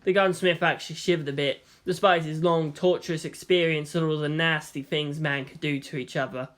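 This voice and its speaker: A man's voice: fairly high pitch, steady tone, brisk, a bit bright. A man narrating a story from a script as a fan fiction reader.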